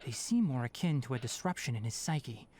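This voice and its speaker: A woman playing a brisk, stern but caring young male forest watcher in a video game, precise and no-nonsense. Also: rumbling low, lively intonation, warm tone, slightly rough, heavily acted. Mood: serious, explanatory.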